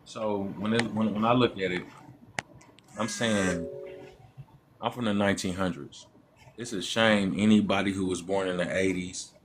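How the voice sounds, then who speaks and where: A man's voice: sits low, fairly bright tone, a little hiss or hum. A man calling into a live stream, giving his opinion in an emphatic, repetitive, preachy way. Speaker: caller. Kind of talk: opinionated call-in talk.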